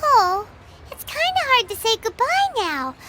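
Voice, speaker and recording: Squeaky, very high childlike fairy. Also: female voice, huge pitch swings, unhurried, heavy background noise, full character voice. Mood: wistful, teasing. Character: small childlike female fairy companion, chirpy and excitable. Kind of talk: English video game character acting. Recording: loud music behind the voice.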